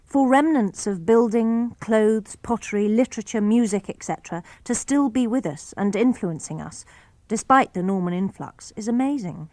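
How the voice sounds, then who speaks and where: A woman reading a daughter's letters aloud for a radio broadcast, in an even, clear and composed manner. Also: a little high, moderate pace, dry recording. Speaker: reader of letters.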